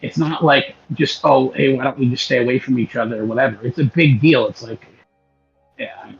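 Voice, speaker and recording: A man's voice: medium pitch, fairly quick, gravelly, breathy edge. A man chatting casually and giving his opinions in a scoffing, dismissive way. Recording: dull lo-fi sound with music behind.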